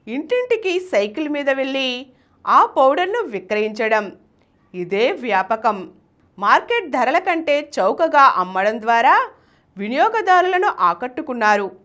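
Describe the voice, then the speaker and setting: Woman, high voice, lively intonation, scripted storytelling, emphatic delivery. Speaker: host and reader of a business success story show.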